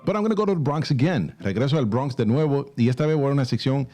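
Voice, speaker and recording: A man's voice: mid-range voice, lively intonation, very fast, dark tone. A man hosting a radio show, chatting casually and switching between English and Spanish with upbeat energy. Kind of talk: casual bilingual radio talk. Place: radio studio.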